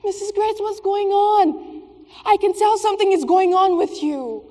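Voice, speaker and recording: A woman's voice: very high-pitched, unhurried, mellow. A woman playing a well-meaning, optimistic customer in a stage play, earnest and concerned. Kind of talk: theatrical stage play acting. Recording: echoey stage hall.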